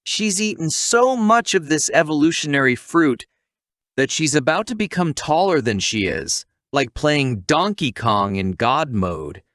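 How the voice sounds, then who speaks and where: A man's voice: on the high side, very animated, a little slow, a bit bright, dry recording, studio quality. A man narrating a scripted comic story recap in a conversational, wisecracking way, with asides to the listener.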